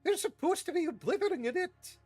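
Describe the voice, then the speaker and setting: Guy doing a stern old lady professor, high and swooping, bossy clipped orders, soft music behind. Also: big pitch swings, fairly quick, heavily acted. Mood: exasperated. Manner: clipped, imperious, shouting orders. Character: stern elderly female witch professor, commanding.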